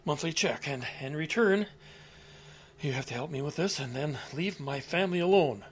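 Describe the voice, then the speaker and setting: A man's voice: fairly high pitch, expressive, moderate pace, a little hiss or hum, close to an everyday voice. Anxious, stammering old man played by an actor. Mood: uneasy, secretive. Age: older. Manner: stammering, hesitant, pleading. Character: anxious, guilt-ridden elderly man hiding an old crime. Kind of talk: audio drama acting.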